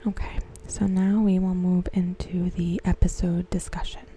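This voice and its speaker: A woman softly narrating a scripted ASMR recap in a gentle, measured way, with a breathy, whispery voice. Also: fairly low pitch, little pitch movement, slight background noise. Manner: gentle, measured, carefully phrased. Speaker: ASMR host reviewing a TV episode.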